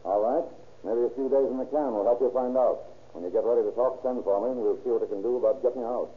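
A man's voice: medium pitch, fast, natural voice. A man playing a terse, skeptical police lieutenant with a dry, commanding manner in an old radio crime drama. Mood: stern. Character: hard-boiled, skeptical male police lieutenant. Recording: tinny, noisy old radio recording.

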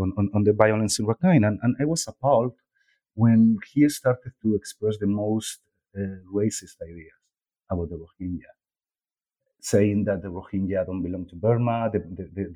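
A man with a Spanish accent speaks in a low, mellow voice. He is an author and journalist answering interview questions, thinking aloud and often stumbling over repeated words before he finds his point. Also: poor audio. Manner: thoughtful, frequent hesitations and repeated words. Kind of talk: interview conversation.

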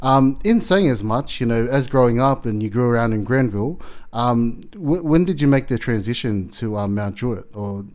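A man hosting a casual interview, asking questions with many pauses and restarts and some friendly teasing. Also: mid-range voice, animated, a little mellow. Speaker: host.

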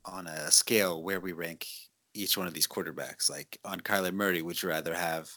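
A deep-voiced man talks loosely on a podcast, circling his points with fillers. His tone is forceful and bright, and the recording is dry with no room sound. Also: fairly even intonation, moderate pace, no room sound. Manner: rambling, hedging with fillers. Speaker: podcast co-host. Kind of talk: casual chat.

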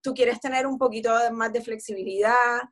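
A woman, explaining her routine in a relaxed, conversational way full of fillers. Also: a little high, a little fast. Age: 40s. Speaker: guest. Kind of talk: casual conversation about fitness.

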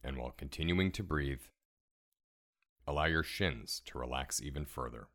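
A man leading a guided relaxation meditation in a calm, soothing, measured way, giving gentle step-by-step instructions. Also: very deep voice. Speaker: meditation guide, podcast host.

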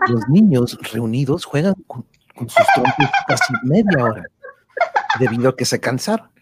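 A man narrating an amateur radio drama from a script, in a somewhat halting reading. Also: mid-range voice, huge pitch swings, very noisy.

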